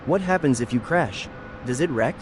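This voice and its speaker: A male voice reading out short viewer chat messages in a flat, even way. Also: medium pitch, moderate pace, a little hiss or hum.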